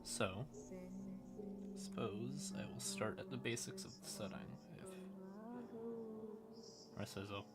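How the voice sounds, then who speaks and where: A man's voice: on the low side, takes it slowly, long pauses. A man hosting a livestream, explaining things casually in a folksy, rambling way with asides muttered to himself. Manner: folksy, uses y'all and howdy. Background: music quietly in the background.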